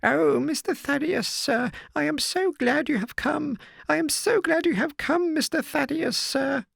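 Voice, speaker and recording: A man voicing a frightened elderly housekeeper woman, shrill and quavering. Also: unhurried, vocal fry, a bit bright, dry recording, studio quality, exaggerated voice. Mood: overjoyed. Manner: high quavering pleading.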